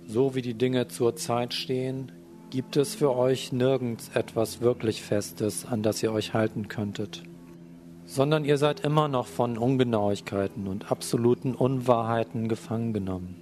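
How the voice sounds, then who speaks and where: A man narrating a scripted spiritual message in a measured, solemn and formal read-aloud manner. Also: medium pitch, darkish tone. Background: music.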